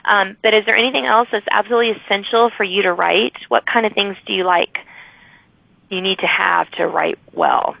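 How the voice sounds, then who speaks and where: A woman's voice: mid-range voice, fairly even intonation, moderate pace, gravelly, dry recording. A woman hosting a podcast interview, chatting in a rambling way with many restarts. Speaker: podcast host. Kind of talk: casual interview chat. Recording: dry, dull lo-fi audio.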